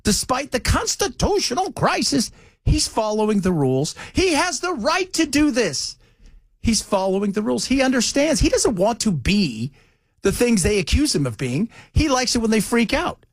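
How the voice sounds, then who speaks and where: A man's voice: very high voice, very animated, normal speed, no room sound, studio quality. An American man hosting talk radio, giving opinionated political commentary in a conversational way with rhetorical questions and mocking asides.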